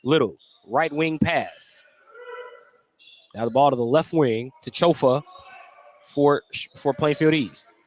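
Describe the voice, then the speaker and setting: A man's voice: fairly high pitch, slow pace, long pauses, slight background noise. A man doing live play-by-play commentary of a basketball game. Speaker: play-by-play announcer. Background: basketball gym ambience, bouncing balls.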